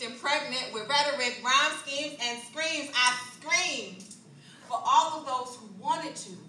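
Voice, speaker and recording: A woman delivers spoken word poetry live, her voice bright and a little high. She moves rhythmically between hushed lines and loud, forceful declamation. The recording is roomy and distant, with a faint hum of the club behind her. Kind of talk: slam poetry performance. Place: jazz club stage, live. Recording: echoey club recorded from far off.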